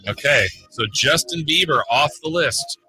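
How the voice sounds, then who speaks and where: A man hosting sports talk radio, running a quiz game with lively banter. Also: a little high, a little slow, slightly rough, dry recording, rough recording. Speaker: radio show host.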